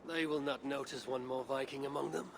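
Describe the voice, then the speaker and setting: A man's voice: medium pitch, steady tone, fairly quick, creaky, background noise, close to an everyday voice. An actor playing a bold, self-assured male Viking warrior in a video game, speaking tersely in formal, archaic phrases. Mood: confident. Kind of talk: dramatic video game dialogue.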